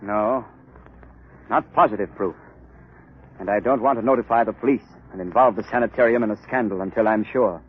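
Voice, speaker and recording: An actor playing a resolute, earnest chief doctor in a 1930s radio drama on an old recording. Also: male voice, lowish voice, fairly quick, slightly rough, narrow, tinny audio. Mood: grave, determined.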